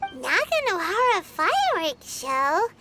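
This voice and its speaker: A woman voicing a small, eager, curious childlike game character with a squeaky voice. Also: very animated, slow, over music, full character voice. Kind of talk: video game character dialogue.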